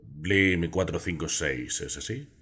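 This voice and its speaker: An actor playing a calm, measured middle-aged psychiatrist in a Spanish film dub, with a Castilian accent and soft-spoken delivery. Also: male voice, deep voice, breathy edge, exaggerated voice. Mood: patient, testing. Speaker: dubbing actor. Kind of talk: dubbed film drama acting.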